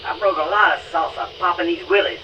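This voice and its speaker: A man's voice: on the high side, quick pace, sharp tone. A man playing a folksy old trucker who rambles in CB slang over the radio. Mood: chatty. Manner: rambling CB slang, good-buddy banter. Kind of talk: CB radio chatter. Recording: distant, noisy CB radio transmission.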